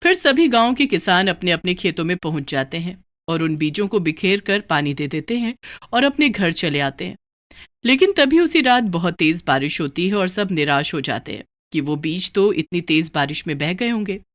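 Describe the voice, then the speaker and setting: A woman's voice: on the low side, lively intonation, normal speed, a bit bright. A woman storyteller reading scripted narration for a children's moral tale.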